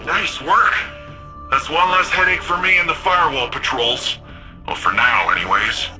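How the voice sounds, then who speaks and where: A man's voice: a little high, expressive, moderate pace, bright, forceful tone, faint music behind, poor audio. A man playing a friendly, wry, tech-savvy ally in a sci-fi video game, chatty and quippy. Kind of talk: video game character dialogue.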